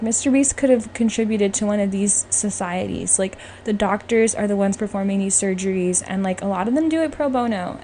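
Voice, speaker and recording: A woman's voice: mid-range voice, mellow. A woman hosting a podcast, talking casually and emphatically as she gives her opinions. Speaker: podcast host. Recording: clearly noisy background.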